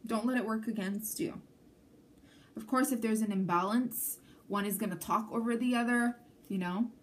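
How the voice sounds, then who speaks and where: A woman's voice: fairly high pitch, normal speed, slight background noise. A woman from Canada whose first language is Armenian, giving a chatty tarot reading to camera and often checking in with the viewer. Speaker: tarot reader.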